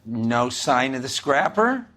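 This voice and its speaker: An actor playing a brisk, dry police superior addressing his men. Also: mid-range voice, very animated, a bit bright. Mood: brisk approval. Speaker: police boss. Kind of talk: game cutscene acting.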